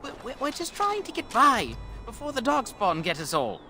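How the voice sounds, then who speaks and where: Bandit boss, male, fantasy game: smug coward, theatrical, sharp-edged, swinging pitch. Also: wildly swooping pitch, normal speed, faint music behind, heavily acted. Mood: panicked pleading. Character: smug male bandit leader turning cowardly when beaten. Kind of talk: video game voice acting.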